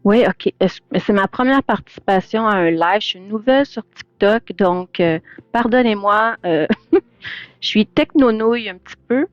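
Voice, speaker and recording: A woman's voice: mid-range voice, expressive, moderate pace, dry recording. Hesitant middle-aged woman on a dull call line. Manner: hesitant, many "euh" fillers, apologetic. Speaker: invited guest, domestic violence professional. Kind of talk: casual livestream guest talk. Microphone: remote call audio. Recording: dull, lo-fi, dry call audio.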